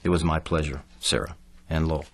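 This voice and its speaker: An actor playing an earnest, preachy activist author in an audio drama. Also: male voice, a bass voice, fairly even intonation, brisk, a bit bright, heavily acted. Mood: earnest, urgent. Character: earnest male activist author, alarmed and self-promoting.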